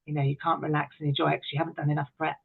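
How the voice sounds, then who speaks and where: A middle-aged woman speaks as a guest in a relaxed interview, telling things frankly and with emphasis. Her voice has a noticeable creak. The sound is dulled and band-limited, like call audio. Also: low voice, rapid-fire, narrow, tinny audio. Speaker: interview guest, actress, writer and director. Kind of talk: candid conversational interview.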